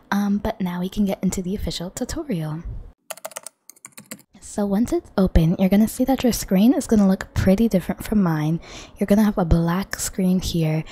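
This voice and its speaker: Hoarse woman doing a chatty tutorial at her desk, mellow husky voice, desk mic. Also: medium pitch. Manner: chatty and friendly, addresses viewers directly. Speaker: gaming channel host. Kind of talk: casual screen-recording tutorial. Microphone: desktop condenser microphone.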